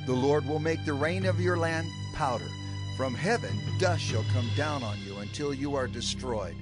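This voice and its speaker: A man voicing an aged prophet solemnly warning his people, declaiming in a grave, rhythmic cadence over a music underscore. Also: medium pitch. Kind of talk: dramatized scripture reading.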